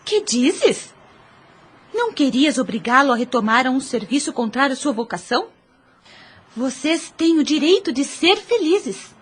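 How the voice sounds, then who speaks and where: Woman acting devoted sister, very high pitch, encouraging, theatrical formal wording, radio play. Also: very animated, a little slow. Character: affectionate, eager, encouraging married younger sister. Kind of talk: Spiritist radio drama acting.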